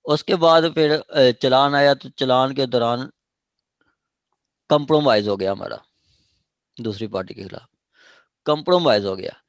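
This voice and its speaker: A man's voice: mid-range voice, normal speed, long pauses, no room sound. A man with a Pakistani accent giving an informal law lesson, reading phrases out and then explaining them in plain words. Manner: explanatory, reads out then paraphrases. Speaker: law lecturer.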